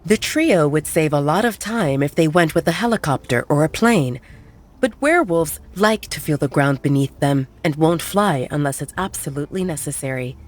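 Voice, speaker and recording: A woman narrating a romance audiobook at a steady storytelling pace. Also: deep voice, moderate pace. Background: soft music.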